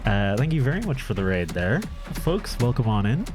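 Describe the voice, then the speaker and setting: A man's voice: on the low side, very animated, moderate pace. A man with an Irish accent, chatting casually and self-deprecatingly with his audience as he streams a game, cursing often. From Ireland. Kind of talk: casual live-stream chat while gaming. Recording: music playing behind.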